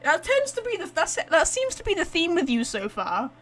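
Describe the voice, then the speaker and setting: A woman's voice: very high-pitched, huge pitch swings, moderate pace, a little hiss or hum. A woman giving casual, playful, reactive commentary while playing a game. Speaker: game streamer.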